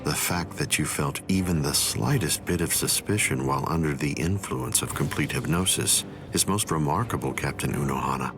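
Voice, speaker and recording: A man voicing a calm, coldly condescending villainous captain in an anime game, with measured, composed delivery. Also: a bass voice. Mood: cold, smug. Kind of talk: English voice acting for anime game cutscene. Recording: dramatic music behind the voice. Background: loud music.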